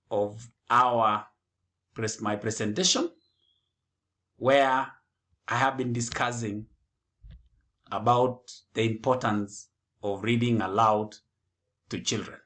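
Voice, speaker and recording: A man giving a scripted educational presentation, speaking deliberately and phrase by phrase. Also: mid-range voice, bright, forceful tone.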